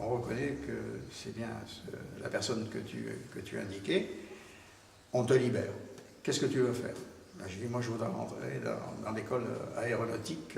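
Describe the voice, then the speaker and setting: A man's voice: medium pitch, vocal fry, a little hiss or hum. A man in his eighties from Tunisia, recounting his wartime past as an oral history testimony, hesitant, with many fillers and restarts. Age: 80s. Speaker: war veteran giving testimony. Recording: distant, roomy recording with long reverb.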